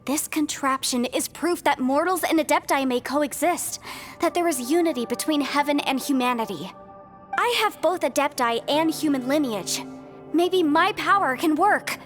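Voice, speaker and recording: A high, youthful game heroine, voiced by an actress, earnest and hopeful. She explains things insistently and with determination, with soft music behind her. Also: normal speed, natural voice. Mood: excited. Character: earnest young half-human, half-adeptus woman, determined and hopeful. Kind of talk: video game story acting.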